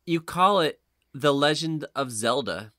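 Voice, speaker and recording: A man hosting a casual podcast chat, talking in an animated, informal way. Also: fairly high pitch, measured pace, no room sound, clean studio sound. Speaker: podcast host.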